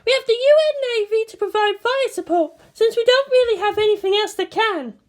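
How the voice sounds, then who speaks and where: A woman voicing a brisk female operations director in a story, matter-of-fact and commanding. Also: very high-pitched, voice pushed up. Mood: businesslike.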